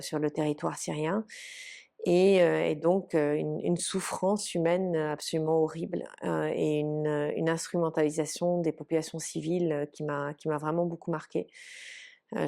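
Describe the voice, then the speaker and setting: A woman's voice: low-pitched, creaky, mellow. A woman in her forties, from Lebanon and raised in Canada, answering interview questions thoughtfully, with many fillers and restarts. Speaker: humanitarian official, interview guest.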